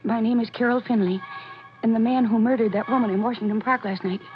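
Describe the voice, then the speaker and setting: Young woman in peril, played by an actress, high voice, urgent and coaxing, old radio thriller with muffled sound. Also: fairly even intonation, fast talker, music in the background, close to an everyday voice. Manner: urgent, coaxing, stammering when afraid. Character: frightened young woman placating a killer. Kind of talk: 1940s radio drama acting. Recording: muffled, noisy 1940s radio recording.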